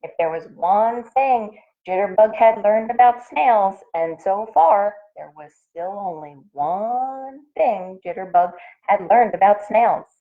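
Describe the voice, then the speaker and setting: Slow-paced woman reading a storybook to children. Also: mid-range voice, animated, narrow, tinny audio. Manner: pauses to ask and explain things to children. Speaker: elementary school teacher reading aloud. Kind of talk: expressive storybook read-aloud for young children.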